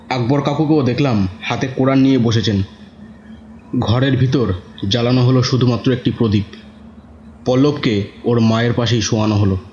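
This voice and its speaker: A man's voice: mid-range voice, a little mellow, a little hiss or hum. A man narrating a scripted horror story in the first person, with dramatic suspense. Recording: roomy, muffled audio with music.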